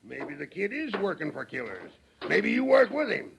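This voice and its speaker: A gruff frontier lawman from an old radio western. His voice is high, husky and full of gravel, swinging widely as he blusters and gives orders in a Western American accent. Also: huge pitch swings, normal speed, background noise, exaggerated voice. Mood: angry, commanding. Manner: blustering, rustic folksy grammar. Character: gruff, bullying Old West sheriff barking questions. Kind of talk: 1930s radio western acting. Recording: old 1930s radio recording.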